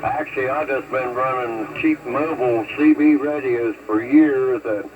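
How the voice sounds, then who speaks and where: Male CB operator from the United States, heard through heavy radio noise, mid-pitched, folksy casual chat. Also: unhurried, dull audio. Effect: two-way radio transmission. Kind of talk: casual radio chat with call-sign procedure. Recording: noisy CB radio reception with static.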